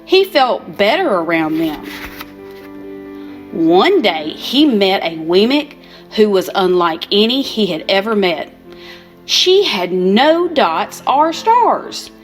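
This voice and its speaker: Brief: older female storyteller, gentle unhurried read-aloud, soft music underneath. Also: medium pitch, sing-song. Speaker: storybook reader.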